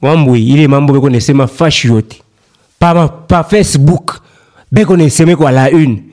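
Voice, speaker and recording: An actor playing an animated, talkative man who keeps urging his friend, in a radio play with a Congolese accent. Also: a little high, moderate pace, close to an everyday voice. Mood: reproachful. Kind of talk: radio play dialogue in colloquial register.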